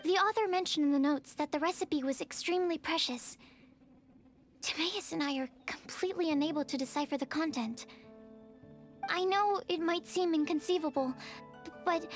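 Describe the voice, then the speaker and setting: A bookish young woman alchemist from an anime game. Her voice is very high, and she talks in a hesitant, formal, earnest way. Light music sits behind her. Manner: hesitant, politely formal, careful. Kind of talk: anime video game dialogue.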